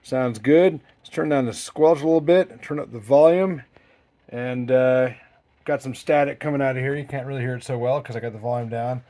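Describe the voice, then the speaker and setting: Man, mid-pitched voice, casual hobbyist presenter, wry dry humor, hands-on garage demonstration. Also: moderate pace, mellow. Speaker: presenter, junkyard parts hobbyist. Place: home garage.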